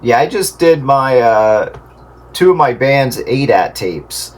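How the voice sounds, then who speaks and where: A middle-aged man in casual radio chat, explaining things in a halting way. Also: mid-range voice. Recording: clear steady background noise.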